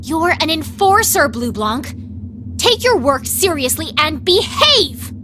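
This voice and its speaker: A high, sharply inflected female voice for a haughty young knight in a video game. She is hot-tempered and imperious, with prominent music behind her. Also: very animated, takes it slowly, full character voice. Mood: scolding. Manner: imperious, scolding, formal.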